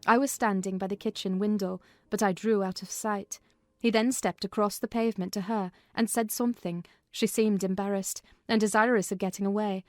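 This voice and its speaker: A woman giving an expressive scripted narration of a classic novel. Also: medium pitch, moderate pace, no echo, studio quality. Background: music.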